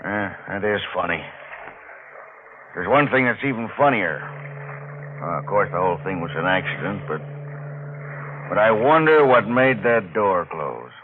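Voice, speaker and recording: A man's voice: deep voice, expressive, unhurried, long pauses. A man playing a sober, puzzled salvage boss in an old-time radio drama, hesitant and pondering. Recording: tinny vintage radio, faint noise.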